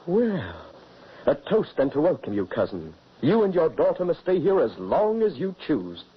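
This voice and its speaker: Wary fugitive father, husky low voice, expressive, measured, old dull radio sound. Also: full character voice. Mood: solemn. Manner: earnest, measured storytelling. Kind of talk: 1940s radio acting.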